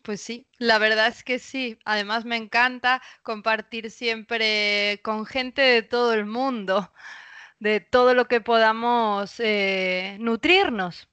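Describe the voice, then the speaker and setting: A woman in her thirties with a Spain Spanish accent, a podcast guest talking casually and thoughtfully, pausing often with fillers. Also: fairly high pitch, fairly even intonation, pushed and bright, smooth, no echo. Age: 30s. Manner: thoughtful, pauses with frequent fillers. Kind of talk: casual interview talk.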